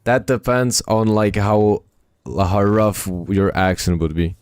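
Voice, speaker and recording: Czech-accented man, game streamer, lowish voice, dry close sound, relaxed mumbled chatter. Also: takes it slowly, smooth, dry recording. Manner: relaxed, mumbling asides, repeats short phrases. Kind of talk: casual live-stream chat while playing, reading chat aloud.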